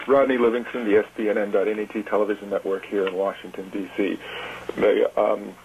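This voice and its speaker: A man's voice: on the low side, level tone, fairly quick, a little mellow. A man, a television reporter, putting a question over a telephone conference call. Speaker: TV network reporter. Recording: tinny phone line with faint noise.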